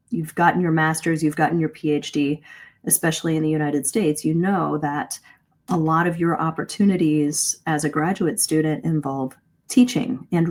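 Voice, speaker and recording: Lower-pitched middle-aged woman coaching. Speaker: English communication coach, host. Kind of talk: live online lesson, conversational teaching. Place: live video stream.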